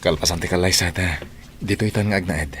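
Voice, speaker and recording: A man's voice: low-pitched, brisk, slight background noise, lightly acted. A man playing a devoted, protective young suitor in a radio drama. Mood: tender, reassuring. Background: loud music.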